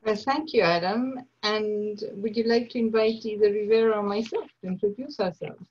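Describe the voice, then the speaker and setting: A woman from Argentina with an Argentine accent, leading an online workshop over a video call in a slow, earnest way. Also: medium pitch, breathy edge. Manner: slow, deliberate, earnest delivery. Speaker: nonviolence trainer. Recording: dull lo-fi online audio.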